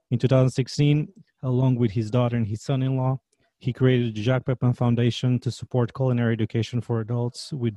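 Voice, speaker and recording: A man's voice: medium pitch, warm tone, no echo. A man from Portugal with a Portuguese accent, hosting a podcast as a chef and reading an upbeat, emphatic introduction before interviewing his guest.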